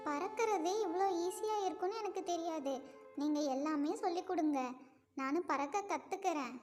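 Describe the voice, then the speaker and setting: A woman plays a little storybook bird girl, innocent and affectionate. The voice is very high and childlike, with a pleading, timid way of talking. Music plays clearly behind it. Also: normal speed. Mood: delighted. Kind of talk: children's bedtime story character.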